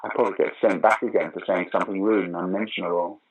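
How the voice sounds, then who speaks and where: A man's voice: deep voice, fairly even intonation, very fast, husky, poor audio. An older man, answering interview questions conversationally in a wry, opinionated, emphatic way. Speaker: interview guest, actor, writer and director of pantomime.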